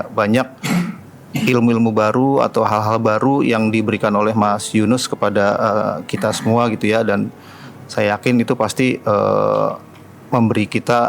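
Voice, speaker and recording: A man's voice: lowish voice, vocal fry. A man with a greater Jakarta accent giving a casual spoken testimonial about his own experience. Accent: Indonesian, greater Jakarta. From Bekasi, Indonesia. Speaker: training participant giving a testimonial. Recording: faint background noise, room ambience.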